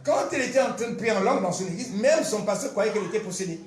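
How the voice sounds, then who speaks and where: A man, a pastor giving an animated, emphatic testimony and teaching with repetitive storytelling. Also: high voice, racing. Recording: roomy echo, faint music behind.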